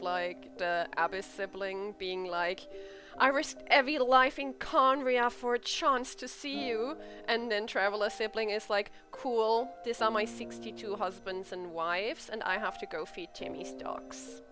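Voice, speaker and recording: Casting: female game streamer, mid-pitched voice, informal and blunt joking commentary, faint music behind. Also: moderate pace. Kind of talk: casual playthrough commentary.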